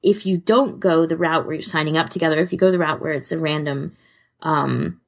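A woman's voice: deep voice, a little fast, gravelly. A woman co-hosting a podcast, chatting casually and informally. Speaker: podcast co-host.

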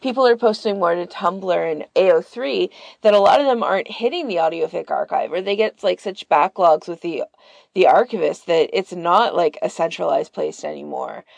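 A woman's voice: fairly low pitch, moderate pace, vocal fry, darkish tone, no room sound. A woman podcast host explaining things informally in a casual group chat.

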